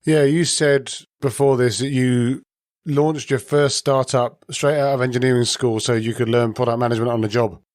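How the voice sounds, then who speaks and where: A man's voice: mid-range voice, fairly even intonation, normal speed, darkish tone, no echo, clean studio sound. A man hosting a podcast, asking long, conversational questions. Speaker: podcast host. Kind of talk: podcast interview.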